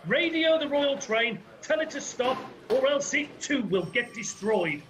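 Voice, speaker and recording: A man's voice: extremely high pitch, expressive, measured pace, noisy background. A teenage boy playing an irascible, blustering railway controller in a school radio play. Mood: alarmed, indignant. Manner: blustering, exasperated.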